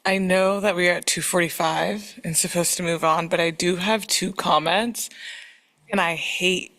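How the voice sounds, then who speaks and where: A woman council member speaking at a public meeting, earnest and hesitant, with many pauses and ums. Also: lowish voice, fairly even intonation, a bit bright. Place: meeting room.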